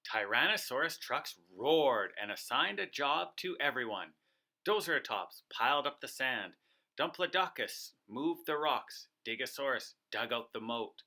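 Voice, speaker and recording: Male co-reader for a picture book, sharp bright tone, animated and expressive delivery for kids. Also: medium pitch, unhurried. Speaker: co-reader of a children's read-aloud. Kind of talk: animated children's storybook narration.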